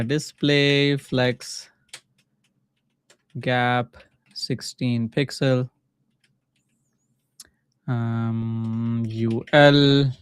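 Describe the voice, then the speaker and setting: A man with a Pakistani accent and native Urdu talks casually through live coding. His voice is smooth and clear at an ordinary pitch, recorded close and dry. He thinks aloud in short, halting phrases, like a streamer chatting as he works. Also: dry recording. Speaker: livestreaming coder and mentor.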